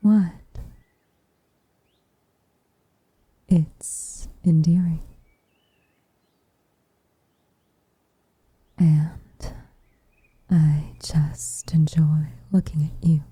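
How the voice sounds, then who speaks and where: A woman playing a reserved, tenderly affectionate witch in a whispered ASMR roleplay, with soft breathy delivery and measured, formal phrasing. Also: low-pitched, steady tone, no room sound, full character voice. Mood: tender, shy.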